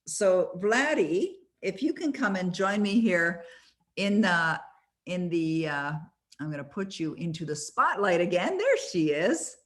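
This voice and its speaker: A woman coaching a group over an online call, with a somewhat low-pitched voice. She speaks emphatically, hammering home key points by repeating them, in the manner of a motivational webinar host. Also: sing-song, a little slow.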